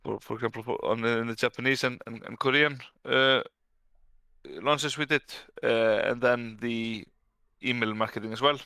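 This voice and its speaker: A man's voice: medium pitch, steady tone, normal speed, pushed and bright, clear, resonant tone, no room sound, studio quality. A man from Iceland, a brand director and guest, answering interview questions in an easygoing way with frequent restarts.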